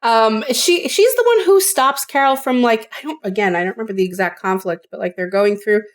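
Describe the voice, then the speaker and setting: A woman's voice: on the high side, animated, a little fast. A woman in her thirties co-hosting a podcast, chatting casually, swearing freely and laughing often as she retells scenes. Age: 30s. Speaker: podcast co-host. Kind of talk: casual chat.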